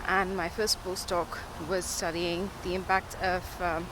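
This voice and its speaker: A researcher speaking informally but earnestly in a measured, formal way, explaining things in a monologue. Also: medium pitch, level tone. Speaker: diabetes researcher and podcaster. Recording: loud outdoor traffic or car noise.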